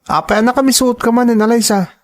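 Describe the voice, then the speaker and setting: A man's voice: very high voice, steady tone, fairly quick. A man playing a good-natured young student and devoted son in a radio drama, boyish and playful, with an Ilocano accent. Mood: friendly, inviting.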